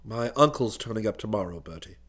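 A man voicing a hard-up, gloomy young gentleman who talks in plaintive, slangy upper-class chatter. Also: on the low side, expressive, a little fast, voice pushed down.

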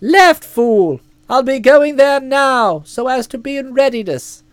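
A woman voicing a hearty, bossy middle-aged aunt who talks in a loud, breezy, emphatic way. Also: sits high, lively intonation, a little slow, no echo. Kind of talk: audiobook character voice.

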